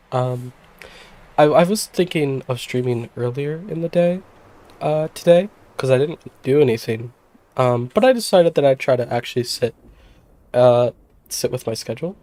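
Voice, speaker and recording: A woman streamer with a sick, hoarse voice, chatting casually and rambling with many fillers and restarts. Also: a bass voice, mellow. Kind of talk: casual livestream chat.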